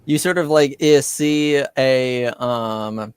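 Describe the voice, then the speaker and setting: A man running a tabletop roleplaying game casually as game master, halting and often searching for words. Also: mid-range voice, a little mellow, clear, resonant tone, no room sound, clean studio sound.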